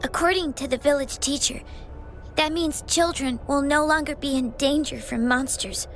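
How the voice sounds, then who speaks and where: A woman's voice: high voice, fairly even intonation, normal speed, soft background music. A woman playing an earnest, hesitant little orphan girl with fire powers in a video game. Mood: earnest, determined. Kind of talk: scripted video game voice acting.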